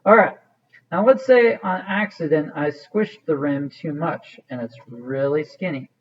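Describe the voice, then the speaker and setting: Male instructor, somewhat high-pitched, casual step-by-step demo delivery, thinks out loud, muffled low-fidelity sound. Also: a little slow. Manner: informal, thinking aloud as he works. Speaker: art teacher. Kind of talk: hands-on demonstration, casual instruction.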